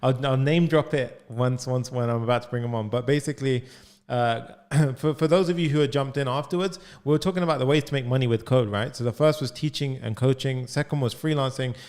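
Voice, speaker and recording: Young male coding coach, energetic, studio mic, chatty. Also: mid-range voice. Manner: energetic, fast, full of "like" and "right?". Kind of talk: live coaching call. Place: home studio room. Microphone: studio mic on boom arm, headphones.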